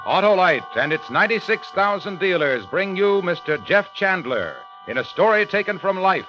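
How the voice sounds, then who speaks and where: An American man working as a radio announcer and pitchman, delivering scripted copy in 1950s style. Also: very animated, moderate pace, over music. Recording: dull, dry old radio recording.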